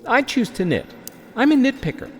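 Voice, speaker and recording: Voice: mid-range voice, very animated, moderate pace, a little hiss or hum, close to an everyday voice. A performer playing a male travelling thread merchant in a comic audio drama, sly, nitpicking, hesitant and fussy. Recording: reverberant hall with music underneath.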